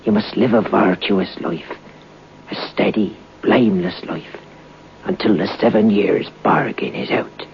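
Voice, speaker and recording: Earnest, gravelly, fretful priest. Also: male voice, on the low side, a little slow. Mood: admonishing, lamenting. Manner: earnest, lamenting, admonishing. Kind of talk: old-time radio drama acting. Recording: muffled, hissy old radio broadcast.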